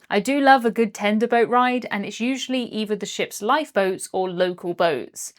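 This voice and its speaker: A young British woman narrating her cruise vlog in a chatty, upbeat, lightly jokey way. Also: mid-range voice. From Britain. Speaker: cruise vlogger. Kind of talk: scripted travel vlog narration.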